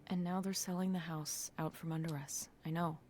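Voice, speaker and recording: An actress playing a wry, curious teenage daughter in a naturalistic video game style. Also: female voice, low-pitched, little pitch movement, normal speed, darkish tone, a little hiss or hum, lightly acted. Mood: subdued, uneasy.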